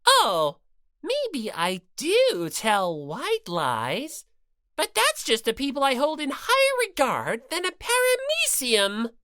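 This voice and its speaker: A woman's voice: high-pitched, wildly swooping pitch, slow, fairly bright tone, no room sound, clean studio sound, heavily acted. Woman putting on a cruel, theatrical robot bear mascot. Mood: smug, mocking. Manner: theatrical, taunting, mock-polite then bellowing. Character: gleefully cruel, bossy, sarcastic robot bear mascot, male. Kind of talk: audiobook character voice.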